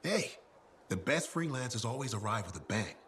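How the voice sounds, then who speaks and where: A man's voice: mid-range voice, big pitch swings, normal speed, fairly bright tone, slight background noise. An actor playing a boastful male merchant who sells custom gear, speaking in colorful salesman patter. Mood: salesy. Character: boastful male weapon decal merchant, showman. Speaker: craftsman merchant. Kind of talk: video game dialogue.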